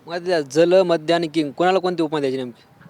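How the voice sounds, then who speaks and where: A man's voice: fairly high pitch, brisk, a little mellow. A man with a Maharashtrian Marathi accent putting questions as a TV news reporter. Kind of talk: interview questioning.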